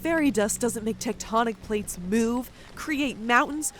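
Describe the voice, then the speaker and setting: A woman's voice: a little high, a little slow, soft background music, lightly acted. A woman playing an irritated, argumentative female soldier in an audio story, exasperated and incredulous. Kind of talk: audio drama dialogue.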